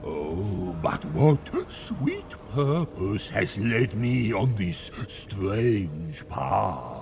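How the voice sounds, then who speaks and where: A man's voice: fairly low pitch, sing-song, extremely slow, vocal fry, heavily acted. A man playing a brooding, cunning plague warlord in a video game cutscene, speaking with theatrical drama. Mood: bewildered, brooding.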